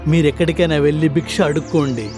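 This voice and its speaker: A man's voice: on the high side, steady tone, fast talker, lightly acted. Ramesh: a man voicing an anxious young husband. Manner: anxious, earnest. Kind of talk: animated storybook voice acting. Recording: voice over loud background music.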